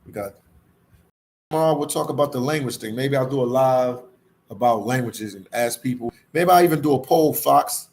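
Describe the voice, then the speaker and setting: A man's voice: mid-range voice, normal speed, a little mellow. A man hosting a casual livestream, chatting to viewers and reading their comments, with a slangy, repetitive way of talking that often addresses them as "man". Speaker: live stream host reading viewer comments. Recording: clear background ambient noise.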